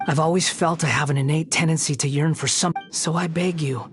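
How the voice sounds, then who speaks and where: A bitter young living puppet , acted by a woman, with a low voice for a female actor. The manner is formal, sardonic and brooding, in video game style with music clearly behind. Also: fairly even intonation, fairly quick, lightly acted. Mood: brooding, pleading.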